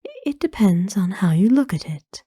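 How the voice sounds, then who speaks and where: A woman voicing a kind, ancient aristocratic vampire lady in a whispered ASMR roleplay, speaking softly with formal, soothing, wistful phrasing. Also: mid-range voice, animated, normal speed, dry recording, studio quality. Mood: wistful, reflective. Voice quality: soft, breathy whisper.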